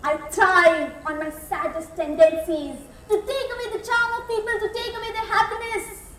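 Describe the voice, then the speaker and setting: A woman performs a theatrical soliloquy in a very high voice. Her delivery is emphatic, with deliberate repetition. The sound is echoey and picked up from a distance, with faint noise. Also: steady tone, fairly bright tone. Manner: theatrical, emphatic, repeats phrases with pauses. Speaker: contest performer. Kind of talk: dramatic soliloquy on stage.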